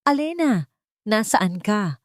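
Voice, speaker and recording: A woman voicing an arrogant, wealthy man who is forceful, demanding and impatient in a narrated audio drama. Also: medium pitch, big pitch swings, slow, no room sound, studio quality, pitched above the performer's own voice. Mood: angry, demanding.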